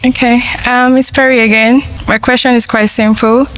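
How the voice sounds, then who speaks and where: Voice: mid-range voice, flat delivery, normal speed, fairly bright tone, a little hiss or hum, poor audio. An audience member asking a playful, bold question.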